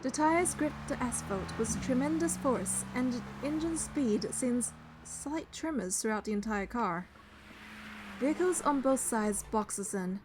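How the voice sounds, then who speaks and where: A woman's voice: on the high side, lively intonation, unhurried, noisy background. A woman voicing a young female hunter and bodyguard, narrating a romance game in an earnest, lightly teasing way. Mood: tense. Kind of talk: scripted first-person game narration and dialogue.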